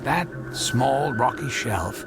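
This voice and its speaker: An actor playing an apologetic, obsessed research scientist and husband in a radio drama, speaking haltingly with a stammer. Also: male voice, medium pitch, expressive, vocal fry, loud music behind the voice. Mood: hushed wonder.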